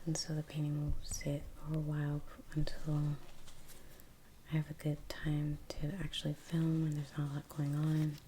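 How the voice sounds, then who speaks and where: A woman speaking in a soft, breathy half-whisper, chatting casually and haltingly in an ASMR style as she paints. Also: sits low, monotone, slight background noise. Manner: unhurried, halting, trailing pauses. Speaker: ASMR painting video creator. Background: soft brush sounds.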